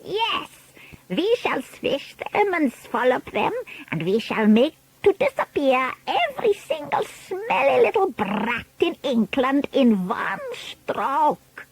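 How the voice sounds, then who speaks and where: Grand witch ruler: foreign accent, high and wildly swooping, imperious, menacing, slow drawn-out emphasis. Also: wildly swooping pitch, no echo, full character voice, poor audio. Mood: scheming disgust. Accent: foreign, non-native English. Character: imperious, menacing child-hating witch leader.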